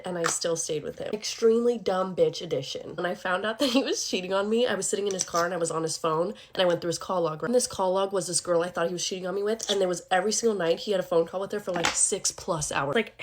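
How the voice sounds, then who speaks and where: A woman's voice: mid-range voice, a little hiss or hum. A young woman telling a casual, candid personal storytime, chatty and given to sarcastic asides.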